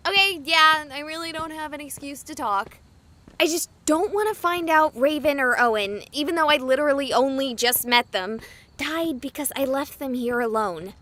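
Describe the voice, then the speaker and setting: A woman's voice: high voice, normal speed, pushed and bright, a little hiss or hum. An actress playing a sarcastic, chatty young woman streamer who talks to her viewers. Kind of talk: voiced game dialogue.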